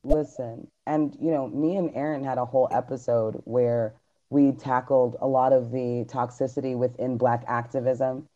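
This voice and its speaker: A man co-hosting a loose, casual podcast talk, pressing his points with emphatic, preachy energy. His voice is mellow and warm. The recording sounds a little dull and lo-fi. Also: medium pitch, level tone, moderate pace. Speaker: podcast co-host. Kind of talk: casual group chat on a live stream.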